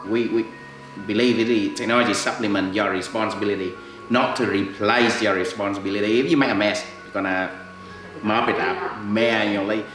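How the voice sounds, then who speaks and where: A man with a Vietnamese accent speaking in halting, earnest English in an interview. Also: fairly low pitch, steady tone, over music. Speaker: householder interviewee. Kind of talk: casual interview answers. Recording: echoey room.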